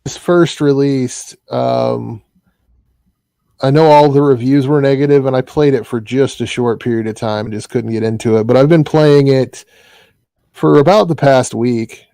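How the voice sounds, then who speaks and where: A man's voice: medium pitch, vocal fry, warm tone, smooth, dry recording. A man with an Iowa accent, an interview guest chatting casually, with frequent restarts. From Iowa, US Midwest. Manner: halting, restarts often. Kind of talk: casual chat.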